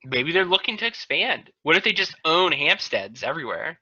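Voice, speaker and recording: American male co-host, fairly high and very bright voice, loose conversational banter over a video call. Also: very animated, moderate pace, husky, dry recording. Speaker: podcast co-host and local chamber of commerce chairman. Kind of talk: casual group banter. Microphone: video call microphone. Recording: dull, band-limited video call audio.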